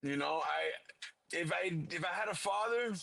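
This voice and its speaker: A man's voice: high voice, lively intonation, unhurried, creaky, bright, forceful tone, no echo. A man in his late thirties from South Florida, a fighter chatting as an interview guest, upbeat and often repeating words as he starts a sentence. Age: 30s. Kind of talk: casual interview chat.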